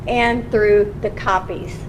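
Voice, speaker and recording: A woman's voice: medium pitch, slow pace, rough recording. A woman, a county recorder, earnestly explaining her office's work to a county council in a meeting room. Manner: earnest, explaining patiently with restarts. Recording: public meeting recording with steady hum.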